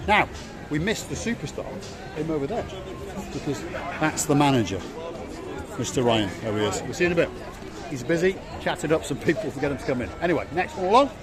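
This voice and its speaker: A man's voice: on the high side, expressive, brisk, long pauses, crowd noise. A middle-aged man from Wales giving a chatty, jokey walking tour of a harbour at night, repeating words for emphasis. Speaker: vlog host. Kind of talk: casual walking tour commentary. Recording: music playing behind.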